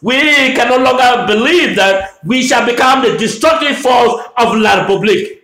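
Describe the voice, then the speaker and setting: A man from Anglophone Cameroon giving a fiery political address, shouting and repeating his demands in a declamatory way. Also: very high-pitched, moderate pace. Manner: shouting, repetitive declamatory oratory. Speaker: political activist making a public address. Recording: echoey room.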